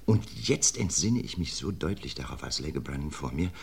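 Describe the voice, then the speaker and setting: A husky, low-voiced police doctor. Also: male voice, big pitch swings, normal speed, exaggerated voice. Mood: earnest. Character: older male police doctor, methodical and self-critical. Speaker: police doctor in a radio play. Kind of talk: vintage radio drama acting.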